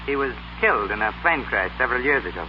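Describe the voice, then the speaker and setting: An actor playing a polite, friendly young gentleman in 1930s radio drama. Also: medium pitch, lively intonation, quick pace, a little hiss or hum, close to an everyday voice. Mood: affable. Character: genial, well-bred young gentleman of the house. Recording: muffled 1930s radio recording.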